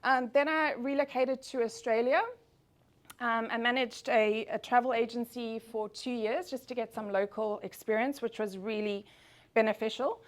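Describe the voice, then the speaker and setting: A woman's voice: on the high side, fairly even intonation, moderate pace. A woman in her forties with a South African accent, giving an upbeat presentation at a networking meeting and saying um often. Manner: upbeat, speaking with frequent ums. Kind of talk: business presentation.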